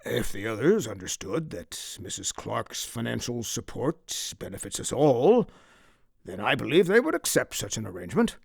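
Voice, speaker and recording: A man's voice: mid-range voice, very animated, normal speed, creaky, breathy edge, dry recording, studio quality, exaggerated voice. A man voicing a pious, persuasive patriarch in an audiobook, solemn and preacherly in delivery. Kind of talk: audiobook character acting.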